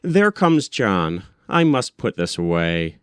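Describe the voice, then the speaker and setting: A man reading a first-person literary story aloud as an audiobook narrator, with measured, deliberate phrasing. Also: deep voice, very animated, clean tone, dry recording, clean studio sound. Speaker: audiobook reader.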